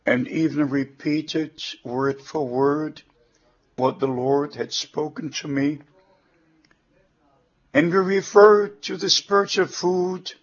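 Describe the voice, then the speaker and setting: A man with a German accent, a native German speaker, preaching a sermon in slow, measured, deliberate short phrases. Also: on the high side. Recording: dull lo-fi sermon recording.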